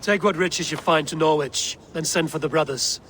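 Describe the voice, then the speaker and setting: An actor playing a gruff, steadfast Viking raider leader in a video game, speaking in measured, terse commands. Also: male voice, fairly high pitch, fairly bright tone, slight background noise, lightly acted. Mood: commanding. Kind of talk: dramatic video game acting.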